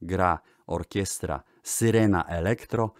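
Male radio-style music presenter, very deep voice, formal measured narration, close dry sound. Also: no room sound. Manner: formal, measured, deliberate phrasing. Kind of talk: scripted narration in the manner of a radio music programme.

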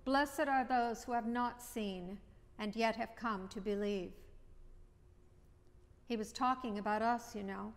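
A middle-aged woman priest preaching a sermon at a lectern, measured and reflective, with short repeated phrases. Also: a little high. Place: church chancel, indoors. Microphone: at a lectern, far camera. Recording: long church reverb.

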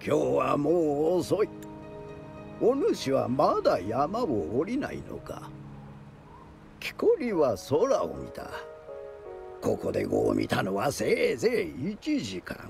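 Game storyteller: dramatic, knowing, unhurried delivery with huge melodic swings, music behind. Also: male voice, fairly high pitch, wildly swooping pitch, creaky, full character voice. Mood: suspenseful, grave. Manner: theatrical, deliberate, suspenseful. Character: theatrical male storyteller spinning eerie mountain legends, dramatic, knowing. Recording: loud music under the voice.

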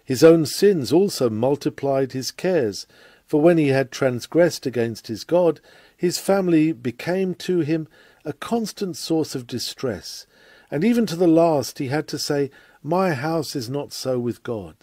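A man reading a scripted sermon aloud in a formal, measured, oratorical manner. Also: fairly high pitch, expressive, mellow, dry recording, clean studio sound. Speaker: reader of a sermon.

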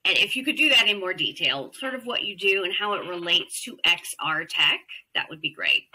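Woman moderator, bright intense voice, encouraging questioner. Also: lowish voice, animated, fairly quick, slightly rough, poor audio. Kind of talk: panel moderation on video call.

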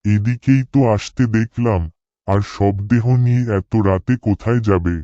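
Man playing a low, mellow village cremation worker. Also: moderate pace, no room sound, muffled recording, lightly acted. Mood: puzzled, uneasy. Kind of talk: cartoon dialogue and spoken thoughts.

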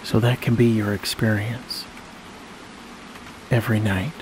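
A man leading a guided sleep meditation in a slow, soothing manner, leaving long pauses between phrases. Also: on the low side. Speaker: hypnosis guide. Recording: steady rain-like noise behind voice.

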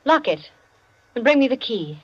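An actress playing a poised society hostess in 1940s radio style, brisk, theatrical and commanding. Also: female voice, a little high, sing-song, slightly rough. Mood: grave, commanding. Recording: muffled 1940s radio broadcast, music behind.

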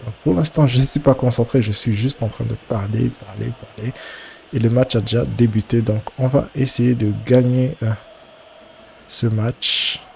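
A man with a head cold giving casual, halting commentary as he streams a football video game, often repeating his words as he thinks aloud. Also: mid-range voice, steady tone, gravelly, darkish tone, slight background noise. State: congested with a cold. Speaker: gaming streamer.

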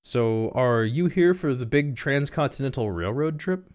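Brief: man as woman travel writer in a comic story, raised pitch, breezy, proud, flirty. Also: animated, normal speed, darkish tone, lightly acted. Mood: bright, eager.

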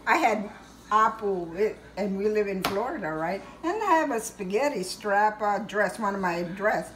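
A woman's voice: medium pitch, lively intonation, moderate pace. A middle-aged woman with a Filipino accent, casually telling family stories as a mother in a home kitchen. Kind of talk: casual storytelling chat. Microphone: handheld phone camera a short distance away. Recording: echoey room with background noise.

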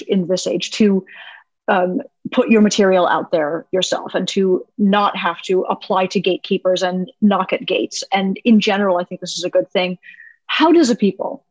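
A woman's voice: mid-range voice, no echo, dull audio. A woman musician hosting a podcast, asking long, rambling, chatty questions with a self-deprecating touch. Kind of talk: casual interview chat.

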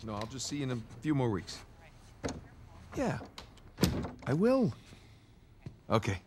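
A man's voice: mid-range voice, wildly swooping pitch, unhurried, noisy background. An actor playing an eager, stubborn treasure hunter in his thirties in a video game, an American man talking casually and persuasively. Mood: tense. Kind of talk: cinematic video game acting.

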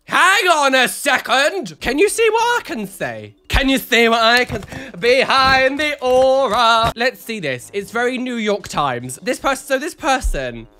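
Sassy, congested man with a lively, bright voice. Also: extremely high pitch, unhurried, few pauses. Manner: animated, sassy, dramatic exclamations. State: congested, nasal from a cold. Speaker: YouTuber reacting to photos. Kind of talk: camp, chatty reaction commentary. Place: home studio room with neon lights. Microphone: studio mic on a boom arm, close.

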